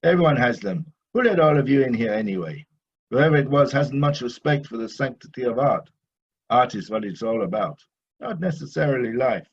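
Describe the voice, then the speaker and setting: An actor playing a proud, boastful Dutch painter in an audio play, grandiose and self-satisfied in manner. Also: male voice, medium pitch, animated, fairly quick, creaky, husky, lo-fi sound. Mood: indignant. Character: pompous, boastful old-master Dutch painter, touchy and proud.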